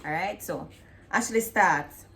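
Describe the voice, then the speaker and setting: A woman from Jamaica with a Jamaican accent, hosting a casual vlog in a lively, chatty way with Patois turns. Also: lowish voice, takes it slowly, vocal fry, slight background noise. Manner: lively, laughing, Patois-tinged. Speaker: vlog host. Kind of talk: casual chat with partner.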